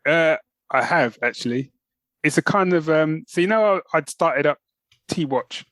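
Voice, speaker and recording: A man's voice: fairly high pitch, lively intonation, moderate pace, a bit bright, no echo. A man, co-hosting a podcast with rambling, colloquial, casual chat about the news. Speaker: podcast co-host.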